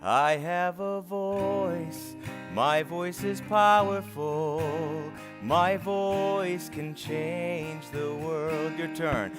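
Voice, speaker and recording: A man teaching a short song at a synagogue service, speaking easygoing asides and singing each line slowly for others to repeat. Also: fairly high pitch. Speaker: song leader. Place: synagogue sanctuary. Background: guitar.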